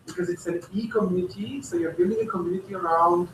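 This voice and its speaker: A man whose first language is Romanian speaks in a meeting with a bright, forceful tone and hesitant phrasing that trails off. He sits far from the microphone in an echoey room with a faint hiss behind him. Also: sits high, fast. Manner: hesitant, trailing off, many fillers. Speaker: meeting participant. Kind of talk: casual meeting discussion. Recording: roomy, distant mic with faint noise.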